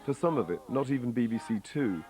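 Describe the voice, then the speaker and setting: A man narrates a documentary from a script, at a middling pitch. Music plays softly behind his voice. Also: animated, a little fast.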